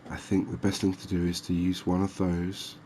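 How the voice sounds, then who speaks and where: A soft-spoken man giving ASMR gameplay commentary, thinking aloud with pauses. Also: low-pitched, completely flat delivery, slight background noise. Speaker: gaming video host.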